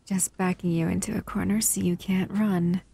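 A woman's voice: lowish voice, little pitch movement, moderate pace, vocal fry, mellow, slightly rough. A woman voicing a dangerous, bored humanoid monster in an audio roleplay, speaking to the listener in a languid, teasing, menacing way. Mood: playful menace.

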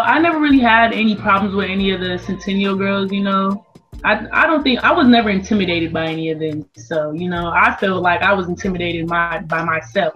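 A young American woman answers interview questions casually, as a student athlete would. Her voice is on the low side. It comes through a dull, lo-fi video call with music underneath. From Las Vegas, United States. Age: young adult, about 18. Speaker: college basketball player being interviewed. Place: indoor room, on video call.

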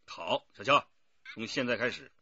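An actor playing a shrewd, commanding police detective chief in a radio drama, giving curt, authoritative orders. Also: male voice, medium pitch, expressive, normal speed, highs cut off, background noise, lightly acted. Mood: urgent, commanding.

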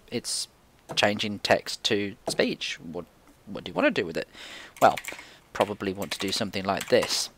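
A man walks through a coding screencast in an informal, think-aloud way. His pitch moves around a lot in an animated fashion. There is slight background noise with keyboard clicking. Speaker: tutorial presenter. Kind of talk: casual screencast coding tutorial.

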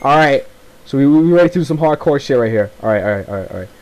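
A man's voice: medium pitch, expressive, fast talker, darkish tone, low-quality recording. A man giving rambling, profane, casual commentary while he plays a video game. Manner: rambling, profane, laughs often. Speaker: gameplay commentator. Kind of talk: casual off-the-cuff gameplay commentary.

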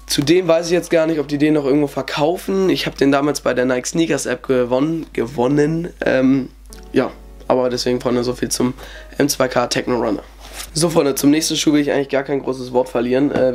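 Fast-talking young man with a cold. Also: mid-range voice, darkish tone. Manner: chatty, heavy on fillers. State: has a cold. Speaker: sneaker vlogger. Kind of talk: casual sneaker collection vlog. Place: indoor bedroom with shoe shelves.

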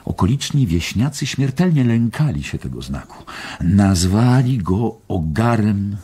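An actor playing a worldly journalist in a radio drama, chattily telling a friend an eerie tale in a husky, gravelly voice. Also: male voice, on the low side, very animated, unhurried, dark tone, full character voice. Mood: storytelling, intrigued.

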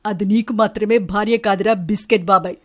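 Wronged husband bird for a cartoon moral tale, played by a woman, mid-pitched, probing and confrontational. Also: fairly even intonation, a little fast, lightly acted. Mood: angry. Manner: confrontational, questioning. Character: suspicious, wronged male bird husbands and lovers, accusing. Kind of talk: animated Telugu moral-story dialogue.

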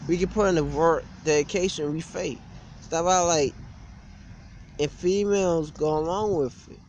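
A man's voice: on the high side, steady tone, normal speed, a little mellow, a little hiss or hum. A young man giving a blunt, repetitive, aggrieved rant to camera in an informal way, recorded outdoors on a handheld device. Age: young adult. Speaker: gym vlogger. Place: outdoors beside a brick building on a street. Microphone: phone or webcam at arm's length.

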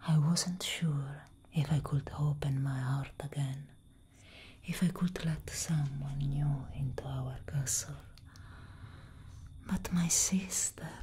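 Woman playing elder vampire sister: low, breathy whisper, very measured, grave and protective, ASMR roleplay. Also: a little mellow, slight background noise. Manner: slow, intimate, deliberate. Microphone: close microphone.

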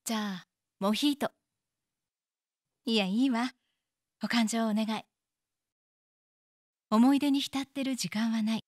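A woman's voice: fairly high pitch, a little slow, long pauses, a little mellow, no room sound, clean studio sound. An actress playing a wistful young woman recalling a lost love in an audio drama. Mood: wistful, reflective. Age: young adult. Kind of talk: audio drama dialogue and narration.

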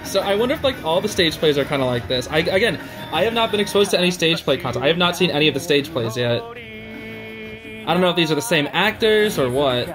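High-pitched, animated young man reacting. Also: a little fast. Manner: excitable, slangy. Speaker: reaction video host. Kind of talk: casual live reaction commentary. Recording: music playing behind the voice. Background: stage show music.

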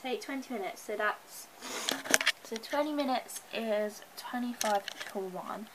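A woman's voice: a little high, measured pace, low-quality recording. A young woman vlogging in her bedroom, a bit bunged up from a cold, talking anxiously and chattily to a handheld camera. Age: young adult. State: congested from a cold. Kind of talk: casual vlog chat to camera. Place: bedroom at home. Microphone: handheld camera close up.

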